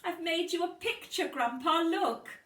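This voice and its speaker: An eager little girl from a children's storybook, voiced by a woman reader. Her pitch sits high and leaps about with excitement. The sound is roomy and a little distant. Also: unhurried, exaggerated voice. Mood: excited.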